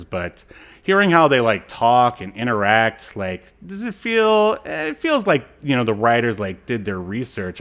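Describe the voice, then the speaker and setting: A man co-hosting a podcast, recapping in a casual, halting, conversational way that trails off. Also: mid-range voice, fairly bright tone. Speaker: podcast co-host. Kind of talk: casual chat, recapping a TV episode.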